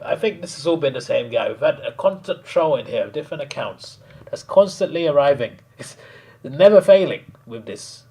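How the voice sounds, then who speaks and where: Man, hoarse, gravelly, laughs a lot, casual streamer chat. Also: a little high. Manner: chatty, laughs often, rambling with restarts. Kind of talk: casual live-stream commentary.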